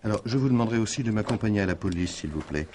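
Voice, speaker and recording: An actor playing a polite, quietly insistent male stranger in a French radio mystery in 1950s style, measured and formal. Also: on the low side, expressive, a little hiss or hum, lightly acted. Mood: politely insistent. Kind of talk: French radio drama acting in 1950s manner.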